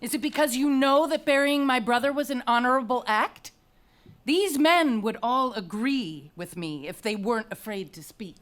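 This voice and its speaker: An actress playing a defiant, intense young princess in a dramatic reading of a Greek tragedy, with a taunting manner. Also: female voice, fairly high pitch, moderate pace, fairly bright tone, natural voice. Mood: taunting, angry. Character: defiant young princess condemned for burying her brother. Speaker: actor.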